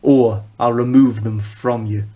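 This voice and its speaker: Brooding young male enforcer, read by a man, northern English accent, cold, quietly threatening. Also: mid-range voice, steady tone, measured pace, close to an everyday voice. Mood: menacing.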